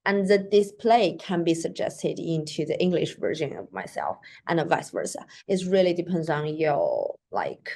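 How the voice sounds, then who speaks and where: A woman with a lowish voice, a native Mandarin speaker, demonstrates software features over a screen share. She talks in a loose, chatty way, leaning on fillers as she clicks through each step. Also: sing-song, measured pace, vocal fry, hardly any pauses, slight background noise. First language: Mandarin Chinese. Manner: chatty, with frequent "like" and "so that is to say". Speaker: software demo presenter. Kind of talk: casual screen-share tutorial. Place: at a computer, recording her screen.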